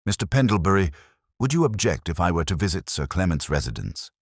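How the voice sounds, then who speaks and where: A man voicing an incisive Victorian detective in an audiobook, with formal, measured, probing questions. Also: deep voice, sing-song, dry recording, studio quality, full character voice. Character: Victorian male detective, incisive, intrigued and commanding.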